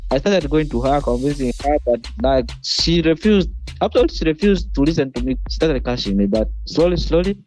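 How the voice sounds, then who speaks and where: A man's voice: on the high side, expressive, brisk, darkish tone, soft background music. A young man with a Ugandan accent, informally recalling his own experience as a youth group member. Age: young adult. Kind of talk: informal personal recollection. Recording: faint background noise.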